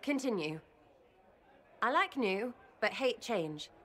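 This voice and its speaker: A cheeky young elf woman from a fantasy video game, with an English accent. Her voice is forward and sharp, and she takes her time, stumbling playfully through slangy, heartfelt words. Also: fairly high pitch, big pitch swings, takes it slowly, exaggerated voice. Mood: nervous, heartfelt. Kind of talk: video game cutscene dialogue.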